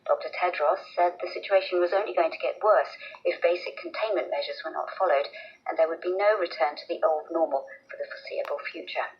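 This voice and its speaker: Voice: medium pitch, fairly quick, slightly rough, highs cut off. A radio news presenter reading a scripted world news bulletin on a shortwave broadcast.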